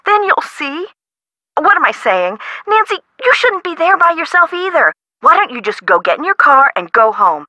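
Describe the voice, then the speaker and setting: An anxious, flighty young woman from an adventure game. Her voice is high and bright, with a dry sound. She chatters emphatically, apologetic and a little breathless. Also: no room sound, full character voice. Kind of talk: video game phone dialogue.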